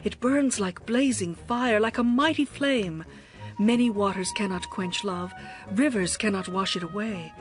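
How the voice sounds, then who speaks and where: A woman's voice: medium pitch, unhurried, lightly acted. Woman acting a devoted young ancient bride, faint music. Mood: passionate devotion. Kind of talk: dramatized scripture reading.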